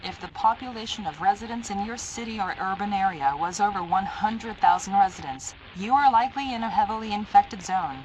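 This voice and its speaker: A woman's voice: medium pitch, a little fast, few pauses, a little mellow. A woman reading a scripted emergency alert as an announcer, in a formal, impersonal, automated-sounding delivery. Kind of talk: scripted emergency broadcast narration. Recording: noisy analog horror alert broadcast.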